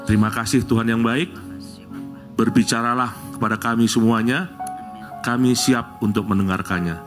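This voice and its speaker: Man, middle-aged, from Bali, church sermon, lively, loud exclamations. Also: medium pitch, normal speed, music in the background. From Bali, Indonesia. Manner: lively, rising to loud exclamations, jokes with the congregation. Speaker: guest preacher. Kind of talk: opening prayer then sermon. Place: church service.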